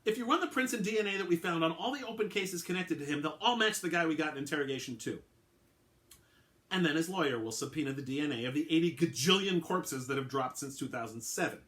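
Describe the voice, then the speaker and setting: A man's voice: on the high side, expressive, fast, a bit bright. A man voicing a brusque, dismissive and exasperated male official in a dramatic crime story reading. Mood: exasperated, emphatic. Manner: emphatic, exasperated. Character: brusque male prosecution liaison, dismissive and emphatic.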